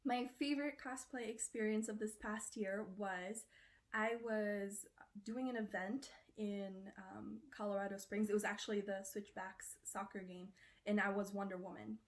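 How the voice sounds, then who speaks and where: Woman, cosplayer interviewee, casual chatty delivery, middle pitch. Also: fairly even intonation, moderate pace. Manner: chatty, loose, with frequent fillers.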